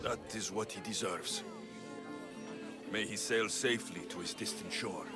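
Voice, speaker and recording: An actor playing a young Greek mercenary hero in a game cutscene, steady and resolute. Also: male voice, on the low side, a little slow, close to an everyday voice. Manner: steady, rising to rousing shouts. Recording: game cutscene with music behind.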